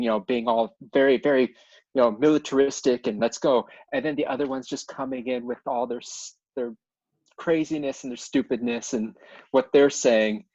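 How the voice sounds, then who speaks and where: A man's voice: medium pitch, a little mellow, no room sound. A man in a casual group conversation, recorded over a dull online call. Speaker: cast member and singer. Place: video call.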